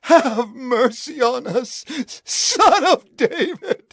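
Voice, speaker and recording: An actor playing a desperate man begging for mercy in a dramatized audio Bible, husky and pleading. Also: extremely high pitch, animated, fairly bright tone, no room sound, clean studio sound, heavily acted. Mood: desperate, hopeful. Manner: pleading, drawn-out. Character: desperate male supplicant begging for mercy and healing.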